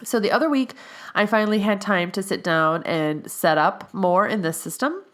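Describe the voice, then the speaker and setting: A woman's voice: mid-range voice, moderate pace, fairly bright tone. Nasal-sounding woman chatting on a podcast. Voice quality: nasal from allergies. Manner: chatty, with frequent fillers. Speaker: podcast host, health coach in training. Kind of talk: casual solo podcast monologue.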